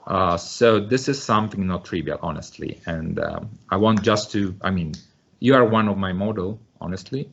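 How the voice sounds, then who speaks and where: A man chairing a conference session on a video call, introducing the keynote speaker and directing questions to the chat, with halting speech full of uhs. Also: low voice, vocal fry, fairly bright tone, a little hiss or hum. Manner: halting, many uh fillers. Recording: dull lo-fi video call audio.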